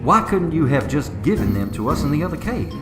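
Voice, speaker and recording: An actor playing a cautious, clever preacher on an adventure in a radio drama, speaking with careful, dryly exasperated patience. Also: male voice, fairly high pitch, very animated, fairly quick. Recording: roomy echo, music underneath. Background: music.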